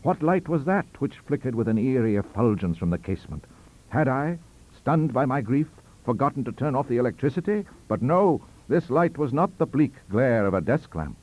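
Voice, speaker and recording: A man's voice: medium pitch, expressive, moderate pace, a little mellow, low-quality recording. A man narrating a ghost story in a theatrical, melodramatic manner. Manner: theatrical, melodramatic, wide-ranging delivery. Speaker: audiobook narrator.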